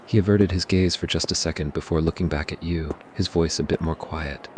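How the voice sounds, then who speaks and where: Very deep-voiced male story narrator. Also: steady tone, a little fast, slight background noise. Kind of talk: scripted ASMR story narration.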